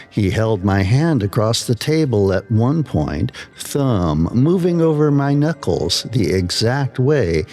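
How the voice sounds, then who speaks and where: A man narrating a scripted slow-burn horror story in a measured way with dramatic pauses. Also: mid-range voice.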